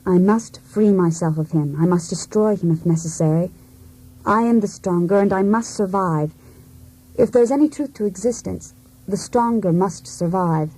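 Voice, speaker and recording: An actress playing a cold, disillusioned wife set on breaking free, in a deliberate, introspective radio drama monologue. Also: female voice, mid-range voice, dark tone, natural voice, low-quality recording. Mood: grim, determined.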